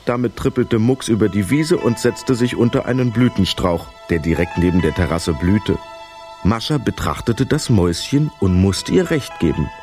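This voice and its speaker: A man narrating a scripted children's story in an expressive, vivid storytelling manner. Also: on the low side, moderate pace, faint music behind.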